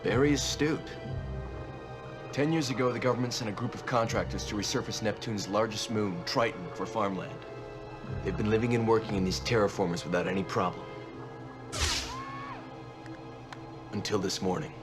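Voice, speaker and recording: An actor playing an authoritative, serious military commander who mentors a recruit in a scripted game cutscene, speaking firmly. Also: male voice, on the low side, a little fast, over music, close to an everyday voice. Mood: briefing. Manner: firm, instructing. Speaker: commanding officer.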